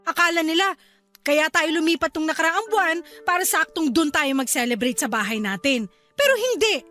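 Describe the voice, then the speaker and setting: A woman's voice: high voice, expressive, a little fast, pushed and bright, soft background music. A woman playing a young wife and new mother who is upset with her husband, hurt and close to tears, in a radio drama. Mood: hurt pleading.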